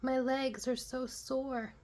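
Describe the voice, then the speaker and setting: A woman voicing eager, adoring small children from a storybook, pleading in an excited way. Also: on the high side, takes it slowly. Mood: tired, pleading.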